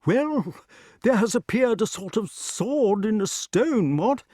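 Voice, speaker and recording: High-pitched, dithering old king. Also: sing-song, takes it slowly, dark tone, no echo, clean studio sound, heavily acted. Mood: muddled. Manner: flustered, repetitive, peppered with interjections. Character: dithering, excitable, petulant elderly king.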